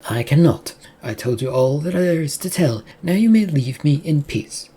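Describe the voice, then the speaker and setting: A reader of unclear pitch voicing an imperious, angry female chief executive in an audiobook story, curt and commanding. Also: expressive, moderate pace, warm tone, husky. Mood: cold, defensive. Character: imperious, angry female CEO, evasive and threatening.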